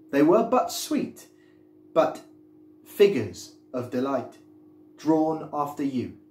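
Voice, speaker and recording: A British man in his thirties, a schoolteacher speaking to students on video in an animated, direct manner, recorded at home. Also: fairly high pitch. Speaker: schoolteacher presenting a poetry series. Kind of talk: video address to students with poetry recitation. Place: living room at home, indoors. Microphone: device mic a step away. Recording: roomy home echo.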